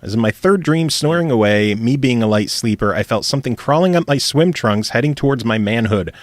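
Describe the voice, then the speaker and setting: A man's voice: medium pitch, lively intonation, a little fast, dry recording. Male podcast host, casual, reads emails, laughs a lot, dry close mic. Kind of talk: casual chat, reading listener emails.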